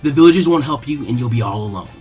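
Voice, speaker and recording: A man's voice: mid-range voice, lively intonation, rapid-fire, darkish tone, breathy edge, soft background music. A man voicing a brash, cocky ninja boy with a taunting, tough manner in a story reading. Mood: threatening.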